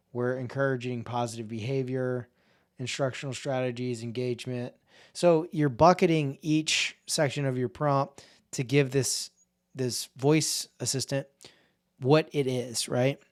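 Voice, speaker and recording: A man hosting a casual software tutorial, talking in a relaxed, informal way and thinking aloud as he clicks through settings. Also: medium pitch, a little slow. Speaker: tech tutorial host. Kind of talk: casual screen-share software walkthrough.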